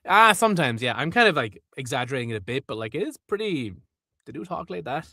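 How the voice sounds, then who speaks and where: An Irish man with a bright, forward voice talks easily as an interview guest. The recording is close and dry, with no room around it. He is chatty and slips into other accents for fun. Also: mid-range voice, very animated, quick pace, no room sound. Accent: Irish, from Wexford. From Ireland. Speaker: audiobook narrator, guest. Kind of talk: casual interview chat.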